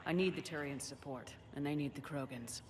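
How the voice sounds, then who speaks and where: A woman playing a steady, direct human military commander in a video game, asking questions in a businesslike way. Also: low-pitched, fairly quick, close to an everyday voice. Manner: businesslike, probing. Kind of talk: video game cutscene acting. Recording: slightly distant, faintly noisy game capture.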